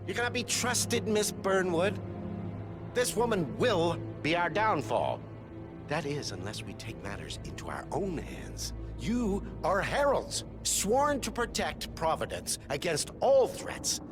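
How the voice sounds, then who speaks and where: An actor playing a smug, scheming secret-society leader in a video game, speaking in grandiose, theatrical speeches. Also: male voice, on the high side. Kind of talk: dramatic video game acting.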